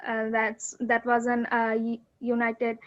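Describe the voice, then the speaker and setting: A woman in her twenties from Bangladesh, introducing herself hesitantly on a video call with many pauses. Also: fairly high pitch, monotone, dull audio. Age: 20s. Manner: hesitant, many fillers and restarts. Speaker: student participant.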